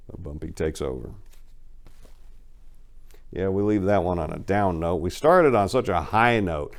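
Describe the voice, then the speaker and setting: A man reading fiction dramatically and talking to listeners in an emphatic, colloquial, folksy way. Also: lowish voice. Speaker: author reading their own crime fiction, show host. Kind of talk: dramatic story reading and informal commentary to listeners.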